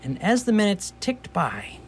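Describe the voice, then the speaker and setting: A man playing a wry storyteller, narrating a scripted radio theatre story in a measured way. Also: high-pitched, huge pitch swings, slight background noise, heavily acted. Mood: wry narration.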